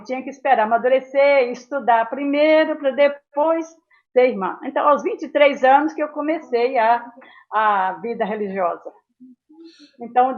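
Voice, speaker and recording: An elderly woman tells her memories as an interview guest. Her voice sits fairly high, and she rambles from detail to detail, often tagging phrases with a small question. The sound is somewhat dull and lo-fi. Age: 70s. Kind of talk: reminiscing in a casual interview.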